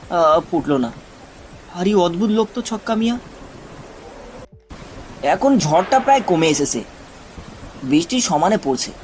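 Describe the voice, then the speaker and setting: A man's voice: sits high, slight background noise. A man reading a scripted ghost story as a dramatic narrator.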